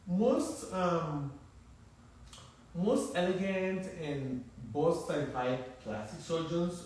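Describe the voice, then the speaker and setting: A man's voice: fairly high pitch, lively intonation, takes it slowly, a little mellow, a little hiss or hum. Nigerian-accented male surgeon, middle-aged, emphatic explainer, echoey distant recording. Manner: emphatic, repeats words while thinking. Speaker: plastic surgeon. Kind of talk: interview on a live stream. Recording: echoey room, mic at a distance.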